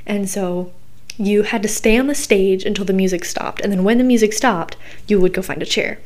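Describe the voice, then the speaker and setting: Hoarse young woman chatting casually. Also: medium pitch, moderate pace, darkish tone. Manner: rambling, chatty, many fillers. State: hoarse, recovering from losing her voice. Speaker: podcast host. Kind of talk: casual solo podcast chat.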